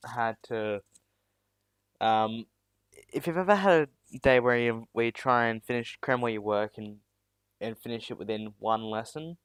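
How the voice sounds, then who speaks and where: A man's voice: mid-range voice, normal speed, smooth, no room sound. A teenage boy from South Australia, chatting casually with viewers on a live stream, rambling and making fun of himself. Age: teens. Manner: rambling and self-deprecating, with many restarts. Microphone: new USB mic.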